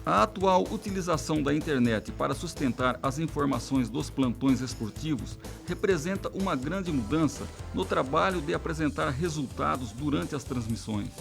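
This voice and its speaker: A man's voice: a little high, expressive, normal speed, creaky, hardly any pauses, music in the background. A Brazilian man narrating a documentary from a script.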